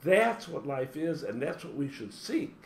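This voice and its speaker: A man's voice: on the high side, a little slow, creaky, echoey room. Man, spiritual teacher, motivational talk, emphatic lists, faint background hum. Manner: emphatic, lively delivery with long spoken lists.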